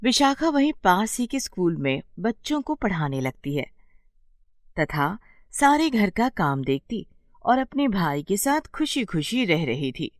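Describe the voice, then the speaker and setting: A woman's voice: lowish voice, dry recording, studio quality. A woman giving scripted storytelling narration as the narrator of a tale.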